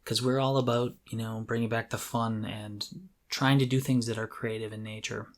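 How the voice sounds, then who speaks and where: A man's voice: on the low side, little pitch movement, normal speed. Candid, self-deprecating man chatting on a podcast. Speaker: podcast co-host. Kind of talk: casual podcast chat.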